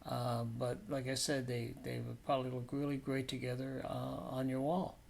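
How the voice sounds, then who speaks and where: A man's voice: medium pitch, completely flat delivery, normal speed, vocal fry, a little mellow. A man narrating a painting demonstration as an instructor, in an informal, explanatory and somewhat hesitant way.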